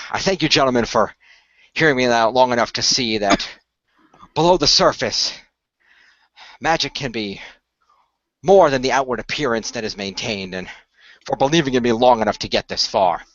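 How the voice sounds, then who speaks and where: Man playing an earnest, measured team leader. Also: medium pitch, animated, fairly bright tone, dry recording, natural voice. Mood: hopeful, earnest. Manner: measured, expository, sighing. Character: earnest male team leader explaining dangers of magic. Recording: dull, lo-fi audio.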